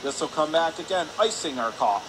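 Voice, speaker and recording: A man with a slightly high voice calls a hockey game live for radio. His delivery is brisk and clipped, following the play moment by moment. Loud rink noise runs behind him. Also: animated. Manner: brisk clipped present-tense calls, rising on shots. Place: indoor ice rink. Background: loud arena ambience noise.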